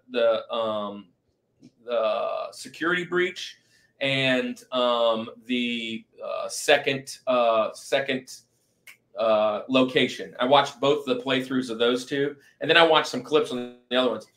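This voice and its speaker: A man's voice: mid-range voice, slow pace, a bit bright. Male podcast host, mid-range voice, informal and enthusiastic, chatting casually about rankings.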